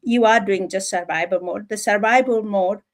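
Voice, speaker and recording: A woman from Nepal with a Nepali accent, giving an informal motivational talk in an earnest, emphatic, halting way, often repeating phrases. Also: medium pitch. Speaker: livestream speaker.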